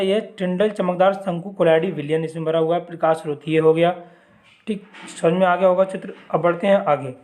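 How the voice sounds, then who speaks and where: High-voiced male teacher with sore throat. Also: darkish tone. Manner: repeats points as questions and answers them himself, adds "ji" to sentences. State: sore throat, clearing it often. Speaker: chemistry teacher. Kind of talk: exam-prep lesson explaining diagrams.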